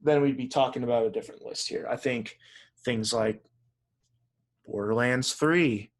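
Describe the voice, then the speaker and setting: A man's voice: medium pitch, normal speed. A man co-hosting a video game podcast, chatting casually in a blunt, opinionated way. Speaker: podcast host.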